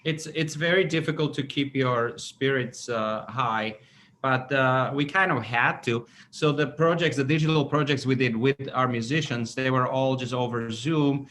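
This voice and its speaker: Man, orchestra conductor, interview talk, medium pitch, halting and stammering delivery, background noise. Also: fairly bright tone. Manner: halting, stammering, searching for words.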